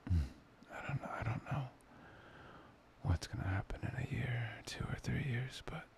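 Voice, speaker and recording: Man acting as young devoted boyfriend, slow hesitant murmur, breathy near-whisper, crackly fry, playful, intimate ASMR roleplay, close mic. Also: medium pitch, expressive. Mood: tender, reassuring.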